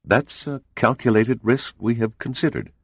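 A man voicing a calm male intelligence official who speaks impassively and evasively. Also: medium pitch, moderate pace, slightly rough, dry recording, pitched above the performer's own voice.

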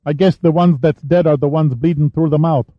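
A man voicing an eager seventeen-year-old boy serving as a ship's gunner, in a high, youthful voice. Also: fairly even intonation, brisk, no room sound, natural voice. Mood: earnest. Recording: dull, dry studio sound.

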